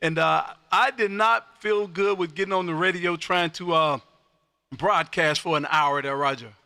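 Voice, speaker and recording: Male ham radio host, very bright forceful voice, highish pitch, exuberant, folksy, repetitive phrasing, dry sound. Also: normal speed, clean tone, no echo. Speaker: amateur radio operator and livestream host. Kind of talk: on-air ham radio chat, livestreamed. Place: home radio room.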